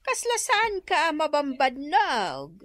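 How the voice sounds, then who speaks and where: High, lilting Ilocano gossip friend. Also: female voice, huge pitch swings, slow, fairly bright tone, no room sound, full character voice. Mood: curious. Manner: questioning, exclamatory. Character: curious, easily scandalized gossip friend. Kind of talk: Ilocano radio comedy drama acting.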